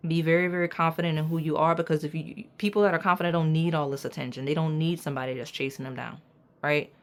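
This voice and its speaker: A woman doing a pick-a-card tarot reading with a raspy voice, talking straight to her viewers in an emphatic, preachy way and checking in with "okay?" and "right?". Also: low-pitched, steady tone, quick pace. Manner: casual slang, addresses viewers as y'all. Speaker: tarot reader.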